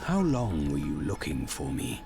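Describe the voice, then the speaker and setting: An actor playing a grave, commanding dark fantasy hero in a video game, gravelly and formal. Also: male voice, a bass voice, wildly swooping pitch, a little slow, over music, heavily acted. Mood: stern questioning. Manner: stern, formal. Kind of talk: video game character dialogue.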